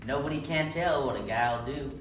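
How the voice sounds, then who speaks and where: A man's voice: medium pitch, moderate pace, pitched above the performer's own voice, close to an everyday voice. Young man playing a bitter stable hand, echoey. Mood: taunting. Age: young adult. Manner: rural American dialect, read aloud with needling persistence. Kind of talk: readers' theatre script reading. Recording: echoey room, distant mic, background noise.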